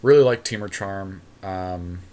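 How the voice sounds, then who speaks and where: A man with a fairly low voice chats casually as a podcast co-host. He thinks aloud through his options in a card game, talking each one over in conversational style. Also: measured pace, warm tone, a little hiss or hum. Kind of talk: casual chat while drafting a card game.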